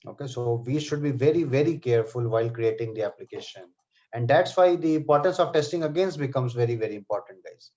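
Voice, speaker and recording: A man leads a live online class, addressing students by name and checking in with "okay" and "right". His voice sits at an ordinary middle pitch. The call audio is slightly dull and muffled. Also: fast, a little mellow. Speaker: software testing trainer. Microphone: computer mic on a video call.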